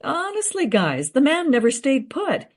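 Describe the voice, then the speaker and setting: An actress playing a confident, eager researcher in a radio play, recounting history in a teasing, matter-of-fact way. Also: female voice, high-pitched, wildly swooping pitch, unhurried, full character voice. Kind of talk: radio play dialogue, storytelling.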